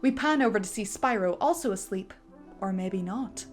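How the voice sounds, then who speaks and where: Woman reading out the scene stuff, storybook vibe, mid pitch, quiet music behind. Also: animated, moderate pace. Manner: vivid storybook narration. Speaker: narrator. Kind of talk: dramatic script reading.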